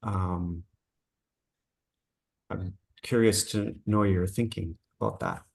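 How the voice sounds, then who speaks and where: A man curating and moderating an artist talk, asking slow, measured questions. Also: low-pitched, fairly even intonation, gravelly, darkish tone, breathy edge.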